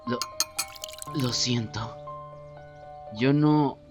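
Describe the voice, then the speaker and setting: A man playing a gentle, apologetic boy who speaks haltingly in a Spanish fan dub. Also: mid-range voice. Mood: apologetic, hesitant. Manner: halting, soft-spoken pauses. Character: hesitant, apologetic, gentle boy from another world. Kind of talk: Spanish fandub voice acting. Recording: dull lo-fi audio, music behind.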